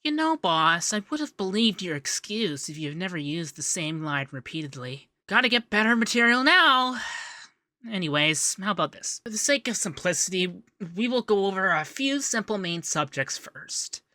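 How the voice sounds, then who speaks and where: A man playing a young, fussy, devoted femboy secretary in a scripted ASMR roleplay, chatty and expressive. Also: high voice, normal speed, no room sound, clean studio sound, full character voice. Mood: teasing, efficient. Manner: chatty, expressive, bashful asides. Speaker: voice actor in roleplay audio.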